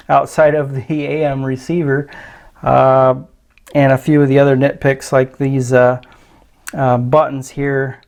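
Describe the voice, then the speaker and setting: A man's voice: medium pitch, a little mellow. A man giving a casual, unscripted product review in a hesitant, tentative way, often trailing off.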